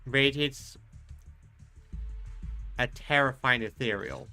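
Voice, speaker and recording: Bright-voiced man reacting to a game. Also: medium pitch, slow pace, smooth. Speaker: game streamer. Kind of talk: casual live reaction commentary. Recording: faint game music behind.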